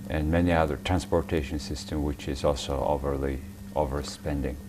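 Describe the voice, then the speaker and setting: A man with an extremely deep, low voice asks his questions slowly, pausing and restarting as he feels for the words. A faint hum runs under him. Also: fairly even intonation. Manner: halting, hesitant phrasing, frequent back-channel murmurs. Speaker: interviewer host. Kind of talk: interview questions.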